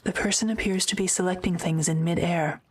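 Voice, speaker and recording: A woman narrating an audio drama script in a measured, matter-of-fact way. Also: fairly low pitch, fairly even intonation, a little mellow, husky.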